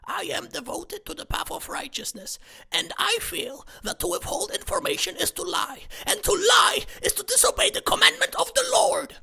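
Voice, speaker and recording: A woman's voice: extremely high pitch, lively intonation, normal speed, creaky, sharp tone, heavily acted. A woman playing a self-righteous, prudish spinster, pompous and preachy, in a comic murder-mystery drama. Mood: indignant, preachy. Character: self-righteous, prudish, pious spinster sister, scandalized and haughty.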